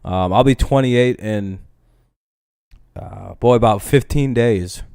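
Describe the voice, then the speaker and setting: Twenty-something guy rambling and cursing on his stream, smooth clear voice, dry close sound, middle pitch. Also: slow, darkish tone, no room sound. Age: 20s. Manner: rambling, profane, self-interrupting. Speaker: livestream host. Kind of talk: casual livestream chat.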